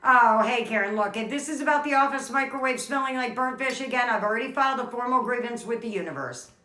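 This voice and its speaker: A woman's voice: on the high side, quick pace, bright, forceful tone, roomy sound, low-quality recording. A woman playing an exasperated office coworker with dry, withering, articulate sarcasm in a comedy skit. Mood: weary sarcasm.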